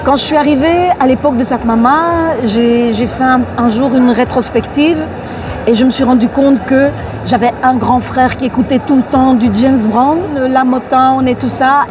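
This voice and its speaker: A woman's voice: fairly high pitch, fairly even intonation, moderate pace. A woman from Belgium, a musician guest, telling her story in a hesitant, filler-filled casual way. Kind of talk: casual interview. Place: outdoors at an urban site. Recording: outdoor recording with crowd behind.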